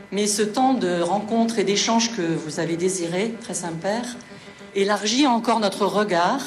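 A woman, director of a welcome centre, giving a measured, formal welcome speech with frequent hesitations. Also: medium pitch.

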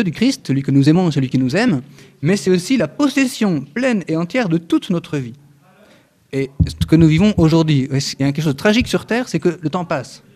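Earnest man in his thirties teaching faith. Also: on the high side. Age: 30s. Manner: earnest and lively, with many hesitant fillers, restarts and touches of humor. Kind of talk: spoken religious teaching to an audience.

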